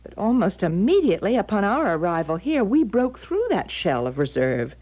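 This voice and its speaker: A woman's voice: mid-range voice, big pitch swings, normal speed. An actress playing an anxious, insistent middle-aged mother in a 1970s radio drama, agitated and emphatic. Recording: dull lo-fi 1970s radio broadcast.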